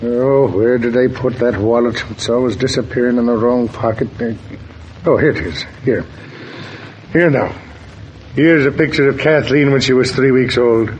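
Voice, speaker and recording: A man's voice: mid-range voice, darkish tone, dull audio, slight background noise, close to an everyday voice. A man acting a jovial, doting, talkative father in an old-time radio soap opera. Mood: doting, proud. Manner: garrulous, proud, unhurried. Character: jovial, doting Irish father, chatty business client.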